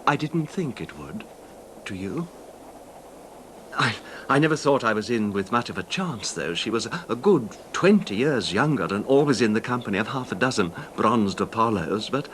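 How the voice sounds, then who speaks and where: An actor playing a courteous, besotted middle-aged rich husband in a 1970s radio drama, speaking haltingly with reflective pauses. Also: male voice, on the low side, animated, a bit bright, slight background noise. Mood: nostalgic.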